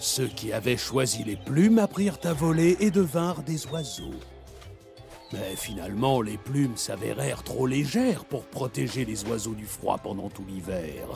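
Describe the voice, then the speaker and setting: A patient, solemn old animal storyteller in a children's cartoon. The delivery is slow and measured, with a creaky grain and lively melody, over soft music. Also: male voice, medium pitch. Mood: solemn storytelling. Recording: light music behind.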